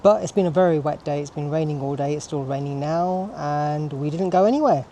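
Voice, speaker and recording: Man in his late 40s, native Punjabi speaker, soft mellow tone, casual vlog monologue, chatty and informal. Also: on the high side, a little fast, a little hiss or hum. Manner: chatty, informal, often ending points with a questioning "right?". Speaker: car vlogger.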